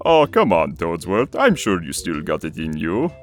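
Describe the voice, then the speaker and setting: A man's voice: low voice, big pitch swings, moderate pace, faint music behind. A man playing an easygoing, teasing middle-aged family man in a cartoon comic dub, talking casually and expressively.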